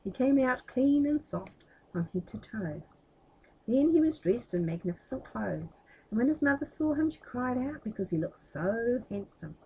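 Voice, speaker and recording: A woman storyteller reading a children's tale aloud expressively, with animated, chatty asides. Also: a little high, moderate pace, breathy edge, low-quality recording.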